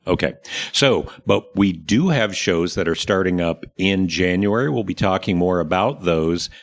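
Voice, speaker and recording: A man's voice: deep voice, animated, measured pace. An American man co-hosting a podcast, talking casually about local theater and upcoming events. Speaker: podcast co-host.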